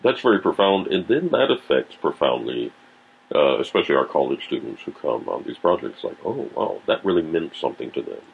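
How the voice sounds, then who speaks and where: Very deep gravelly man, tinny. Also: sing-song, brisk. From Tennessee, USA. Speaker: podcast guest, missionary. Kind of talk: casual conversation. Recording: tinny phone-like sound.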